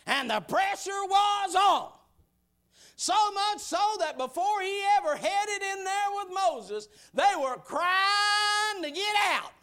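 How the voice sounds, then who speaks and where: A man in his sixties preaching a sermon, building rhythmic repeated lines into shouted bursts, then dropping to quiet, folksy asides. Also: very high voice, animated, takes it slowly. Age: 60s.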